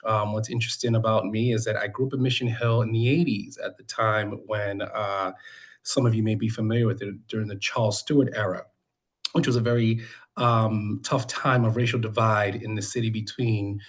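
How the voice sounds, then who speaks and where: A middle-aged man from Boston with an American accent and a fairly low voice. He talks informally, like a local candidate introducing himself to neighbors. His delivery is halting, with pauses and self-corrections as he goes. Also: fairly even intonation. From Boston, United States. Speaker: city council candidate. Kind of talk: informal campaign introduction speech at a community meeting.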